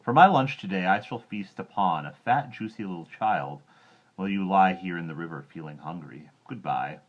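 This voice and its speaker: A man voicing a greedy, boastful crocodile who gloats slowly over his sly plans. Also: low-pitched, lively intonation, dull audio. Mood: gloating.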